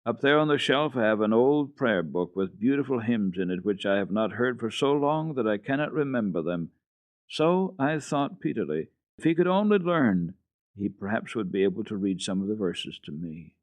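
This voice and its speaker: A man voicing a gentle, mournful old blind grandmother with a plaintive delivery, in a storybook reading. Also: on the low side, animated, normal speed, dry recording, natural voice. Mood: wistful.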